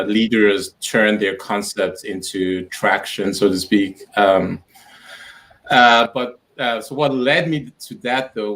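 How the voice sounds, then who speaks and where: A man's voice: lowish voice, a bit bright, rough recording. A man from Nigeria, a guest in a casual interview on a video call, talking thoughtfully and repeating words as he builds a point. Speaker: entrepreneur guest.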